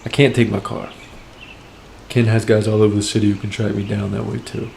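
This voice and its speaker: A man playing a desperate, streetwise drug dealer on the run, narrating his brooding thoughts in a deliberate, dramatic inner monologue. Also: on the low side, little pitch movement, creaky, darkish tone, a little hiss or hum. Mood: tense, calculating.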